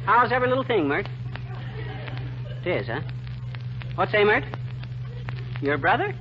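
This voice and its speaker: An actor playing a boastful, wisecracking American husband in 1940s radio comedy, blustery and folksy. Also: male voice, high voice, sing-song, measured pace. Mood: chatty. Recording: muffled, noisy 1940s radio broadcast.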